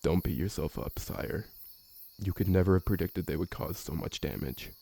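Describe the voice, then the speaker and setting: A man's voice: deep voice, moderate pace, gravelly, warm tone, husky, exaggerated voice. A man playing a loyal servant to a vampire lord in an ASMR roleplay, formal and deferential. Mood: anxious, consoling.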